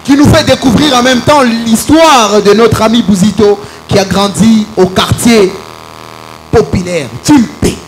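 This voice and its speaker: A man's voice: high voice, unhurried, a little hiss or hum, rough recording. A man hosting a radio game show, playful and given to chanting words. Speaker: show host. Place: radio studio with audience.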